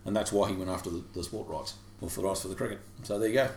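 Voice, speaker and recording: A man co-hosting a casual chat podcast, digressive and quick to laugh. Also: fairly low pitch, steady tone, a little mellow. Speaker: podcast co-host. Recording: echoey room with faint hiss.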